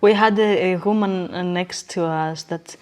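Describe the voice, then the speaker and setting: Low-pitched, reflective woman. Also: fairly even intonation, measured pace, a little mellow. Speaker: podcast guest. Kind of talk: reflective casual conversation.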